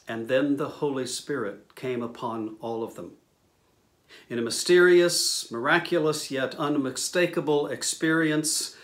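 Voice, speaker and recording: A man in his sixties preaching a sermon as a pastor, with measured, deliberate phrasing and pauses. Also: fairly high pitch, fairly bright tone. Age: 60s. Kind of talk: sermon, teaching scripture to camera. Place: small indoor room, speaking to camera.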